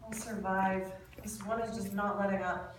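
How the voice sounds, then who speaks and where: A woman's voice: mid-range voice, flat delivery, moderate pace, recorded from a distance, close to an everyday voice. A woman reading a weary young doctor. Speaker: actor in a table read. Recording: echoey room, mic from afar, faint noise.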